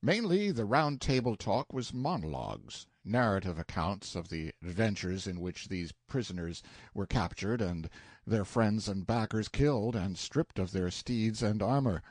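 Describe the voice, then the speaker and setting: A man narrating a literary novel as an audiobook, with a measured, expressive storytelling delivery and a slightly husky voice. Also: fairly low pitch, no room sound, clean studio sound.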